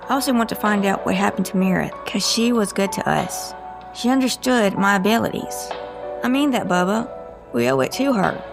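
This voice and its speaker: A woman playing a guarded, sarcastic female agent with a creaky voice and a folksy, teasing manner in an audio drama. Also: medium pitch, close to an everyday voice.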